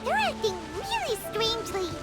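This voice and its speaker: A woman voicing a tiny, excitable, childlike fairy companion in a video game, with a squeaky, exclamatory delivery. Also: moderate pace, over loud music. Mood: startled. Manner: exclamatory, refers to self in third person. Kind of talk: animated video game voice acting.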